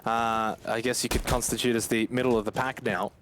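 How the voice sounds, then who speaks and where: A man's voice: mid-range voice, little pitch movement, brisk. A man commentating live on an esports match in a conversational way, with asides to his fellow casters. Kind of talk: live play-by-play and analysis commentary. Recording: gunfire and game noise behind. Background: video game gunfire and clicks.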